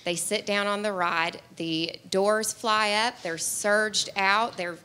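Bright-voiced upbeat young woman. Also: medium pitch, fairly even intonation, slow pace, clear, resonant tone. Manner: upbeat, laughs easily, fillers. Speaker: guest promoting an attraction. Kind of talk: casual promotional chat. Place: diner-style TV studio set with audience. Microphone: handheld mic.

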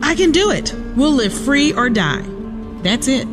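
Casting: protective, sassy young woman, folksy radio-play delivery, big swings in pitch, music beneath. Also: sing-song, a little slow. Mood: defiant. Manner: feisty, folksy. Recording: reverberant, with music underneath.

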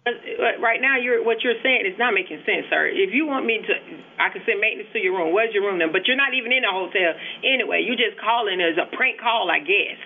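Firm exasperated front desk guy, high pitched for a man, tinny phone line. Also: quick pace, gravelly. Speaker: hotel front desk operator. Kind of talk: phone customer service.

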